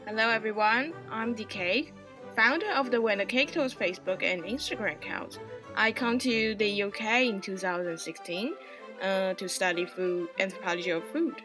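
A woman from Taiwan with a Taiwanese accent, co-hosting a casual food podcast and talking in quick bursts while searching for words. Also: medium pitch, music in the background, rough recording. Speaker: co-host. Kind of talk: casual chat.

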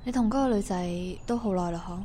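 A woman acting as a composed, probing and quietly wounded first love in a Cantonese radio drama. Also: mid-range voice, brisk, slight background noise, close to an everyday voice. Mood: curious, probing. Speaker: voice actor playing the first love. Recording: music under the dialogue.

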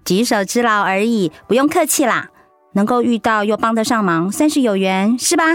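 A woman's voice: on the high side, lively intonation, normal speed, no room sound, faint music behind, lightly acted. An actress playing an outgoing, candid middle-aged woman in a conversational radio drama. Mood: friendly, cheerful. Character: warm, outgoing middle-aged woman, breast cancer survivor.

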